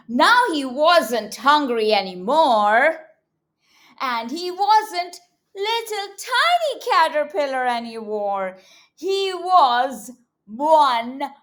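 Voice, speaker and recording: A woman reading a story aloud to young children in an animated, teacherly way, often prompting them with questions. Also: high-pitched.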